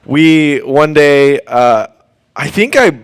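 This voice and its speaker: Smooth-voiced young man hosting student radio. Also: fairly high pitch, animated, slow. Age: young adult. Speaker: student radio host and interviewer. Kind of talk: casual unscripted radio chat. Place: radio studio. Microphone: studio microphone.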